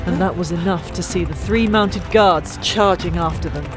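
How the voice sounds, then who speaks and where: A woman's voice: on the low side, moderate pace. A woman narrating a fantasy audiobook in a dramatic, theatrical storytelling style. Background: music.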